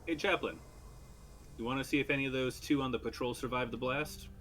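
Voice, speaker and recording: A man's voice: mid-range voice, steady tone, fairly quick, a bit bright, a little hiss or hum. A man playing a tabletop roleplaying game, improvising in-character military dialogue. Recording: live stream with music underneath. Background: music.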